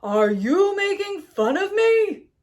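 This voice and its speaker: A woman voicing a booming, touchy storybook giant with an emphatic delivery. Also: big pitch swings, slow pace, darkish tone, slight background noise, full character voice. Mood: hurt, indignant. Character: booming, touchy giant who longs for friendship.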